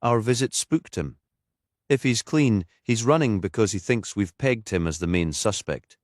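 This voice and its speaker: A man voicing a gruff, world-weary police detective in an audiobook, snappy, dry and authoritative. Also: lowish voice, animated, normal speed, no room sound, voice pushed up, lightly acted. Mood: matter-of-fact.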